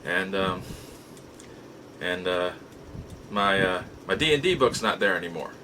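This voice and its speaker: A man's voice: low-pitched, expressive, unhurried, bright, forceful tone, a little hiss or hum. A middle-aged man casually telling personal stories as a show host, rambling in a folksy way and often rephrasing himself. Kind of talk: casual personal storytelling to camera.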